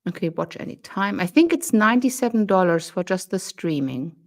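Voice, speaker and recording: A woman with a German accent, a German native speaker, hosting a casual live stream and pausing with frequent ums as she reads out details. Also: medium pitch, animated. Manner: hesitant, many ums while reading items.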